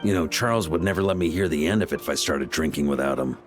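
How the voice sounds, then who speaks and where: A man's voice: very deep voice, fast. An actor playing an easygoing male knight in a video game, talking in a casual, wry and reflective way over background music. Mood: playful.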